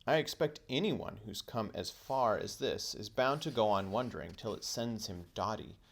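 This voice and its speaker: A man's voice: on the low side, moderate pace, vocal fry. A man voicing a headstrong, curious and argumentative young boy from a children's storybook, insistent and exasperated.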